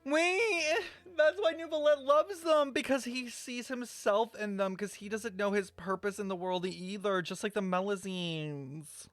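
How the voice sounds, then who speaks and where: A man's voice: high voice, measured pace, few pauses, no echo. A man giving casual, exclamatory reaction commentary as a game streamer. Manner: expressive, exclamatory. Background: game music.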